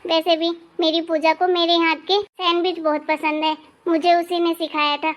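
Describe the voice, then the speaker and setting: A woman voicing a kindly older mother in an animated family story, patient and soothing as she explains things. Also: very high-pitched, normal speed. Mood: affectionate.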